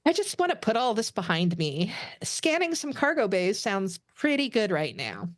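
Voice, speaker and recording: A woman playing an earnest, weary young female alien starship crewmate in an animated sci-fi comedy table read, with a gentle manner. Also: mid-range voice, normal speed, lightly acted.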